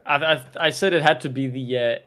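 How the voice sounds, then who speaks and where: A man's voice: mid-range voice, fast talker, a bit bright. A man, co-hosting a casual fan chat over a video call and rambling along in a chatty way. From Denmark. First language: Danish. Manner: rambling, chatty, repeats his opening words. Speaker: co-host.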